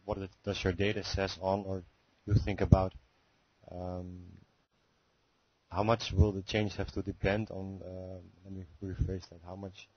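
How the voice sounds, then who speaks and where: A male caller on a conference call, hesitantly working out a question with many pauses and rephrasings. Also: low-pitched, little pitch movement, no room sound. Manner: hesitant, searching for words, many fillers. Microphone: phone line. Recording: muffled phone-call audio.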